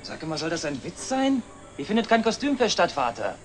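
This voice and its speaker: An actor playing a stiff, reproachful young adult son in a 1960s TV drama. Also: male voice, high-pitched, big pitch swings, fairly quick. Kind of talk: 1960s German television drama acting. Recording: noisy old TV film soundtrack.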